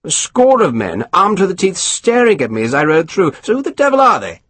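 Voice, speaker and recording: Man playing a pompous colonel, husky, swooping pitch, snappish, condescending, audiobook. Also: huge pitch swings, fairly quick, a bit bright, no echo, full character voice. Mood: snapping. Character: pompous scheming male British colonel. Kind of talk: audiobook character voice.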